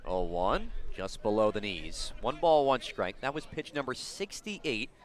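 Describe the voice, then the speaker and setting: A man's voice: medium pitch, big pitch swings, unhurried, a crowd in the background. A man doing live radio baseball play-by-play as the announcer, outdoors at a ballpark. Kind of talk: live radio sports commentary.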